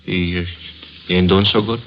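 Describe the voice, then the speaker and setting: A man doing a slangy, wisecracking cabbie from an old radio drama, nervous and comically glum. The voice is on the low side. The recording is dull, with clear hiss and clicks. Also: fairly even intonation, moderate pace, close to an everyday voice. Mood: uneasy. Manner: slangy, comically glum working-class talk. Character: nervous, wisecracking working-class male cab driver sidekick. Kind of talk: 1950s radio drama acting.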